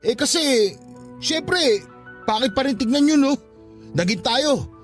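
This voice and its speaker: A man's voice: very high-pitched, expressive, takes it slowly, faint music behind, full character voice. An actor playing a jealous, proud young father in a radio drama, arguing defensively. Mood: defensive, stubborn. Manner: argumentative, defensive. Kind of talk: Tagalog radio drama acting.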